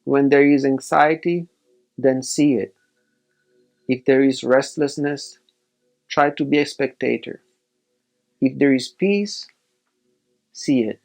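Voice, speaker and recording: A man leading a guided meditation as a teacher, giving measured instructions in short, separated phrases. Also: mid-range voice, creaky, darkish tone, soft background music.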